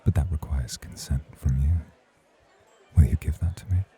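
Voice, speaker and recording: A man's voice: very deep voice, normal speed, mellow. A man playing a suave, patient nightclub host in an ASMR roleplay, speaking in a formal, courtly, flirtatious way. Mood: seductive. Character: suave, patient male nightclub host, teasing rival charmer.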